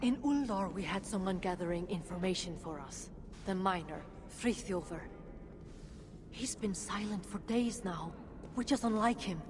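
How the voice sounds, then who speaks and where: A woman's voice: medium pitch, animated, normal speed, lightly acted. A woman playing a weary, bitter dwarf leader in a video game, guarded, sardonic and solemn. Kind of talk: dramatic video game voice acting. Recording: long reverberant hall, noisy ambience.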